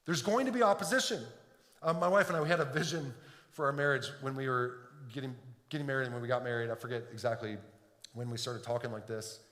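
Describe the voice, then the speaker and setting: A man's voice: medium pitch, fairly even intonation, quick pace, a bit bright. A middle-aged man from Detroit preaching a sermon in a church, earnest, often repeating words as he builds a point. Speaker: pastor. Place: church sanctuary. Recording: echoey hall with long reverb.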